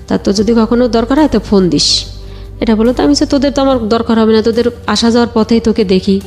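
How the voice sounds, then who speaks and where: A Bangladeshi woman telling her own life story conversationally, a little haltingly, quoting remembered exchanges. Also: mid-range voice, darkish tone. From Bagerhat area, Bangladesh.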